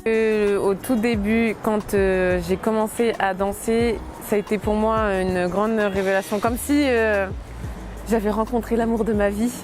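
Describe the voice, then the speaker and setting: A woman in her late twenties, a dancer, talking casually and hesitantly in an interview, with many fillers and soft laughs. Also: mid-range voice, steady tone, unhurried, soft background music. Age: late 20s.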